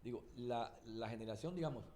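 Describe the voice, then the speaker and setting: A man's voice: mid-range voice, a little fast, a crowd in the background. An older man from Cuba, a singer-songwriter guest telling rambling anecdotes with many restarts. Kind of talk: anecdotal interview talk.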